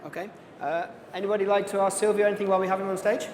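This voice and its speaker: A man with a high voice moderates an audience Q&A in an easygoing, casual manner. His voice rings with long reverb from the hall. Faint noise sits behind him. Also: flat delivery, racing. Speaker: event moderator.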